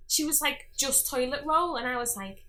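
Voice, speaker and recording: A woman in her early twenties with a Scouse accent, telling anecdotes in casual, animated podcast chat. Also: high-pitched, normal speed, noisy background. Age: early 20s. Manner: animated storyteller who acts out dialogue. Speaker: podcast guest.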